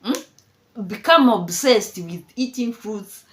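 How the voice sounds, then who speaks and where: A woman's voice: fairly high pitch, very animated, takes it slowly, a bit bright. A woman in her fifties from Kenya giving casual, mocking commentary, laughing often and repeating herself. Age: 50s. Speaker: commentary vlogger.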